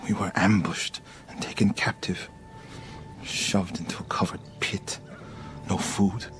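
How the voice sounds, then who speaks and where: A deep, somber voice tells of hardship as a grieving warrior monk. The delivery is halting and respectful, cinematic game acting with prominent music underneath. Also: male voice, steady tone. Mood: grieving, haunted.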